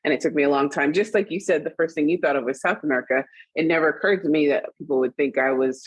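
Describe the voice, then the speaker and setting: A woman with a standard US accent, a guest in an interview, talking in an animated, chatty way and laughing often. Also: sits low, quick pace, breathy edge, no echo, poor audio. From Trinidad and Tobago and Costa Rica, raised internationally. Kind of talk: conversational interview.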